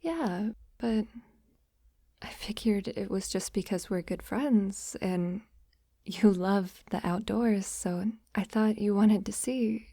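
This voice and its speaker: A woman playing a shy, affectionate young best friend in an intimate ASMR roleplay, speaking softly and hesitantly, often in a breathy near-whisper. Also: mid-range voice, no echo, clean studio sound. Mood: flustered, bashful. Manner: hesitant, gentle, halting. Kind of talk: intimate roleplay ASMR, soft-spoken acting.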